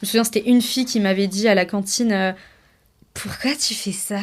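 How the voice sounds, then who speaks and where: A woman's voice: mid-range voice, steady tone, fairly quick. A young woman chatting casually on a podcast as a content creator, informal, slangy and encouraging. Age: young adult. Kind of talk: casual chat.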